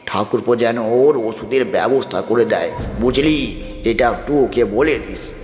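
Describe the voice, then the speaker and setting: A man's voice: mid-range voice, measured pace, a little mellow, lightly acted. A man voicing the ghost of a bossy, caring housewife who gives homely, scolding instructions in a horror story. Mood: fussy, instructing. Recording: roomy long reverb, music bed.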